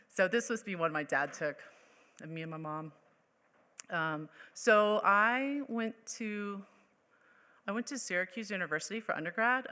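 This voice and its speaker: A woman's voice: lowish voice, sharp tone. A woman from Colorado giving a casual, chatty artist lecture, with many ums and restarts. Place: lecture hall. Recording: echoey hall with long reverb.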